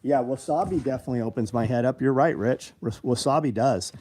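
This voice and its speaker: A man's voice: medium pitch, a little fast, a little mellow, breathy edge. Blunt, profane man in his fifties. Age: 50s. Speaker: live show host. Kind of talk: casual live chat reading viewer comments.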